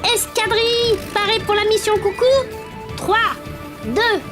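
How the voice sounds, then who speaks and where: Childlike high voice, earnest little cartoon puppet boy, eager, kindly, music behind. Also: steady tone, measured pace. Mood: determined. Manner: earnest, exclaiming, reassuring. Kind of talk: French children's cartoon dubbing.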